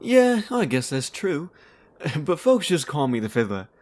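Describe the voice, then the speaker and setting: Man doing charismatic demon bard, theatrical, smooth, lightly high pitch, amused, fantasy drama. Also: big pitch swings, unhurried, darkish tone. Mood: breezy, showy. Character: charming, theatrical young male demon bard. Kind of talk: fantasy audio drama acting.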